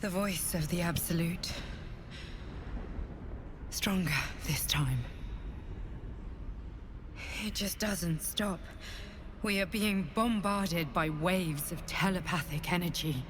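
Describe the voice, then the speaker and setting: A woman playing a weary, solemn psychic guardian in a video game, grave and earnest with a hushed, breathy edge. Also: on the low side, slow pace, long pauses, background noise. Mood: weary, strained. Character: weary, solemn psychic female guardian bearing a heavy burden. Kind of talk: dramatic video game acting.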